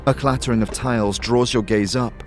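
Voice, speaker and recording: A man giving dramatic scripted second-person narration for a fantasy audio series, in a measured, theatrical storytelling manner. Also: mid-range voice, fairly even intonation. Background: music.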